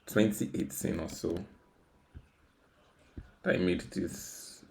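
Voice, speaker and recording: A man's voice: deep voice, fairly even intonation, slow pace, gravelly, a little hiss or hum. A man giving a casual, unscripted coding walkthrough as tutorial host, rambling, self-deprecating and repeating words and phrases. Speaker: tutorial host, software developer.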